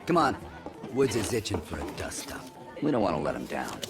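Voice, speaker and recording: An actor playing a tough, curt American covert operative in a military video game. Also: male voice, lowish voice, very animated, fairly quick, creaky. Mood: dry, confident. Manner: curt, commanding. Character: tough, commanding American male covert operative, coolly menacing. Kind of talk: cinematic military game acting. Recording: loud music and vehicle noise behind.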